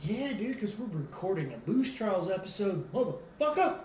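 A man's voice: high-pitched, sing-song, moderate pace, darkish tone. A young American man co-hosting a beer tasting, talking casually in a goofy, joking, sweary way. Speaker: co-host of a beer tasting show. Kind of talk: casual chat. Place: small kitchen at a table, indoors. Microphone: camera mic across the table. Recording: echoey room, distant mic, hiss.

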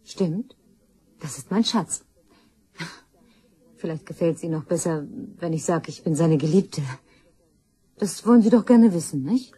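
An actress playing a blunt, brazen young mistress in a crime radio play, flippant and colloquial. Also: female voice, on the low side, a little slow, pauses often, mellow, breathy edge.

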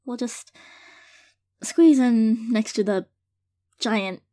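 A woman's voice: on the high side, no room sound. A woman playing an affectionate, hesitant girlfriend in an intimate audio roleplay, speaking sincerely and tenderly to her partner. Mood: tender, coaxing. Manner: soft-hearted, hesitant, halting, gently sincere. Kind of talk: intimate audio roleplay, casual monologue to listener.

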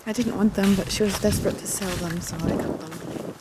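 A middle-aged woman chatting casually and gently while walking outdoors, as in an ASMR roleplay. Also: medium pitch, steady tone. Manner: gentle, unhurried, meandering. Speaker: ASMR video creator. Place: outdoors on paths through woods and streets. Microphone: handheld camera at arm's length. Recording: outdoor ambient noise, passing traffic.

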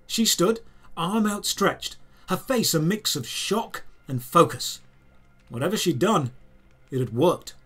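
Storytelling man with a slightly high voice. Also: big pitch swings, fairly bright tone, slightly rough. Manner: measured, storytelling delivery in short deliberate phrases. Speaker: narrator. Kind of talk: dramatic first-person scripted audio drama narration.

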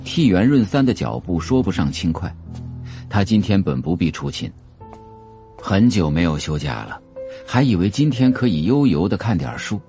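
Guy narrating an audio drama, dark low voice, expressive, soft music behind. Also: normal speed. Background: faint music.

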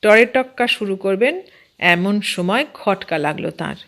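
A woman's voice: mid-range voice, animated, measured pace, fairly bright tone. A woman reading a humorous story aloud as an audiobook narrator.

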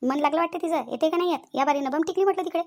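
A woman's voice: high-pitched, very fast, darkish tone. A woman voicing a gossipy, neighbourly village woman in a cartoon, chatting casually with a Varhadi Marathi accent. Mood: chatty. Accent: Varhadi Marathi (Vidarbha). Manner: gossipy, familiar.

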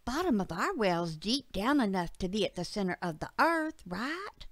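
A woman's voice: mid-range voice, very animated, normal speed, dry recording. A woman voicing an earnest, imaginative little country girl who rambles breathlessly and pleads with her father in an audio story. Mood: pleading. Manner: childlike, breathless rambling with "like" and "see".

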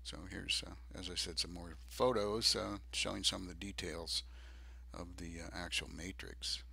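An older man narrating a DIY project video off the cuff, halting and hesitant, with many ums. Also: low-pitched, steady tone, vocal fry, pushed and bright, slightly rough. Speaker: DIY presenter.

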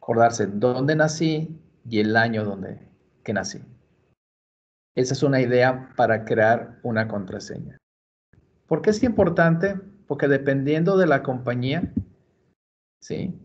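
Slow, patient man teaching a screencast. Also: mid-range voice. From Mexico. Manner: slow, patient, step-by-step explaining. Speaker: library computer instructor. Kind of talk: screen-recorded tutorial. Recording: dull lo-fi screencast audio.

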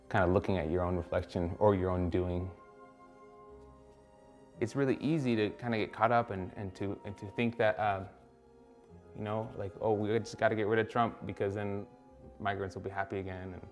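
Artist, 30s, from Costa Rica, low even voice, loose and reflective, soft music under it. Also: male voice. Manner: reflective, loose, with many fillers and restarts. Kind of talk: documentary interview.

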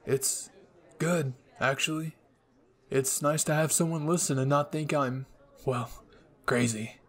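Man acting a weary, husky young anime villain. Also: a little high, steady tone. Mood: calm, sheepish. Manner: halting, weak, pleading. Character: wounded, remorseful young male villain, weary and soft. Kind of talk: anime ASMR roleplay acting.

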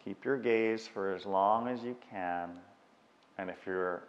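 A man guiding a meditation with unhurried, measured instructions and long pauses, in a dull recording with long reverb. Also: deep voice. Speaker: meditation guide. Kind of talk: guided meditation.

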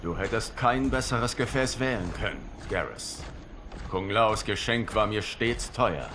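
An actor playing a noble, earnest warrior god and protector in video game dialogue, formal and dignified. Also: male voice, on the low side, expressive, a little slow, noisy background. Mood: earnest, grateful. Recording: music behind the voice.

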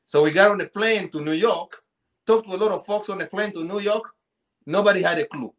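A man's voice: sits high, a little fast, a crowd in the background. A man in his thirties with a Central African accent, telling a personal story in casual chat, repeating phrases and acting out dialogue. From Congo. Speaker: podcast guest, poet. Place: small indoor room at home, video call.

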